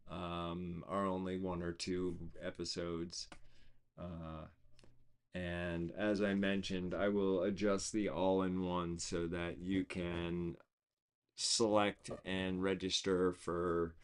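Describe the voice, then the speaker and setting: A man hosting a casual livestream, rambling with many fillers and restarts, his voice gone hoarse. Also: deep voice. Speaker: host of an online reading series.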